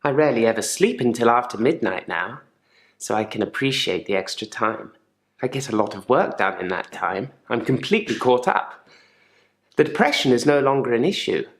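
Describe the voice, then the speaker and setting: An actor playing a controlled, quietly menacing therapy patient in a game drama, speaking in a measured, deliberate and politely probing way. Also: male voice, medium pitch, reverberant, close to an everyday voice. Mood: composed, wry. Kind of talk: live-action game drama monologue.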